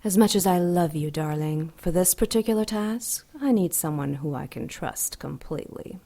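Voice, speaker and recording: Casting: a self-assured demon queen for audio drama, lowish, mellow and silky, teasingly seductive. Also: normal speed. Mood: assured, scheming.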